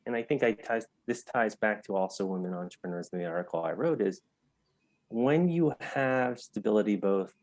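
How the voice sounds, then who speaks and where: Low-voiced American man, measured and explanatory. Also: darkish tone, dry recording. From United States. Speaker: interview guest, health entrepreneur. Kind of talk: thoughtful interview answers.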